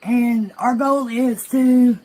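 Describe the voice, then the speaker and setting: A woman's voice: on the high side, fairly even intonation, slow, warm tone, poor audio. A woman speaking earnestly in a recorded personal statement, defending herself.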